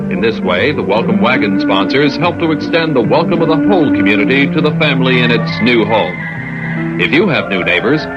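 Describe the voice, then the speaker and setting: A male American announcer reading a scripted vintage radio advertisement. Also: mid-range voice. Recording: dull old local radio recording.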